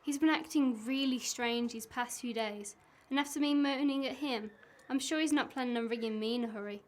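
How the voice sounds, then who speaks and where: A woman's voice: fairly high pitch, brisk, lightly acted. A woman playing a weary, worried wife with money troubles, resigned, in an amateur radio play.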